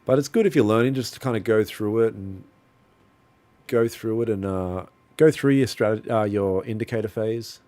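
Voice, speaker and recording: Laid-back guy, slangy and low. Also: moderate pace, mellow. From Australia. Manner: slangy and laid-back, calls viewers bro and brother. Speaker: livestreaming trader. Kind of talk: casual live-stream chat, reading and answering viewer comments. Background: faint lo-fi music.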